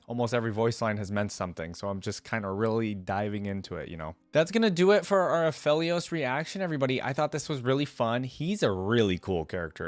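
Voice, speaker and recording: A man's voice: mid-range voice, dry recording. Man musing casually, close dry sound. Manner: rambling, musing, often posing questions. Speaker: reaction channel host. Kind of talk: casual unscripted reaction commentary addressed to viewers.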